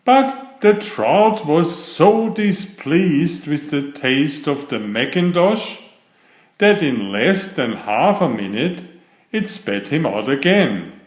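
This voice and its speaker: A man reading a children's story aloud in an expressive, deliberate, dramatic manner, stretching key words. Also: fairly high pitch. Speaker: children's story narrator and author. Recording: roomy, echoey sound.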